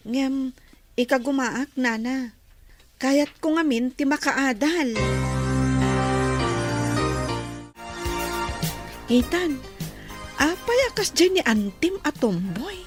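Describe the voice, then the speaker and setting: A woman's voice: sits high, lively intonation, takes it slowly, pauses often, music in the background. A woman playing an earnest, respectful young village student in an Ilocano radio drama.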